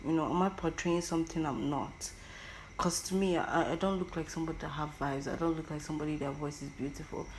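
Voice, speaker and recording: A young woman with an Igbo accent talks alone into the mic in a personal monologue. Her voice sits very low for a woman, and she often stumbles and repeats words as she thinks aloud. A faint hiss of background noise runs under the recording. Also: fairly even intonation, quick pace, rough recording. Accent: Nigerian Igbo. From Igbo land, Nigeria. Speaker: podcast host.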